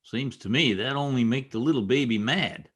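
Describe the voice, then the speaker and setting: An actor playing a simple, fretful, loyal frontier sidekick in an old-time Western radio play, talking in a folksy, rustic way. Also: male voice, mid-range voice, normal speed, a bit bright, breathy edge. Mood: exasperated, bewildered. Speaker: actor in an amateur radio drama.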